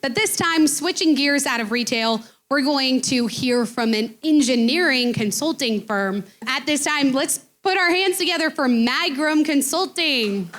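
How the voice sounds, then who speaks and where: A woman with a high voice acts as event host. She speaks to a live audience in the style of an emcee introducing presenters. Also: measured pace, hardly any pauses, a bit bright.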